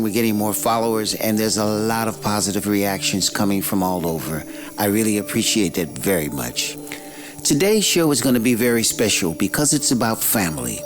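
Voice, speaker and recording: Halting, friendly male podcast host. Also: on the low side. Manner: friendly, halting, restarts often. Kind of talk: casual interview chat.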